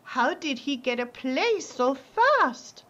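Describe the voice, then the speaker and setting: A woman's voice: high voice, sing-song, slow pace, bright, forceful tone, muffled recording, exaggerated voice. A woman playing an affectionate, wry wife in a radio play. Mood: fond teasing. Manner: wry, teasing. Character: teasing, affectionate working-class Irish-American wife.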